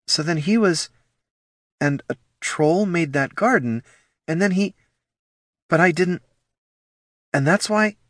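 Man voicing an anguished female elf ranger, pitched high. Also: animated, fairly bright tone, no room sound, studio quality, heavily acted. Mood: despairing. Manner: fraught, emotional, halting. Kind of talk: fantasy audiobook character dialogue.

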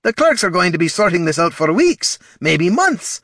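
A man voicing a gruff, grudging older male dwarf guildmaster who is fussy and snappish. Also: high voice, very animated, normal speed, fairly bright tone, no echo, studio quality, pitched above the performer's own voice, full character voice.

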